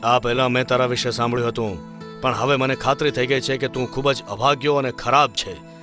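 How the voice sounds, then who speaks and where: Guy doing a bossy cartoon emperor, blunt and commanding, normal pitch. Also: steady tone, a little fast, over music, natural voice. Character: stern proud male emperor, middle-aged. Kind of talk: cartoon storybook dub.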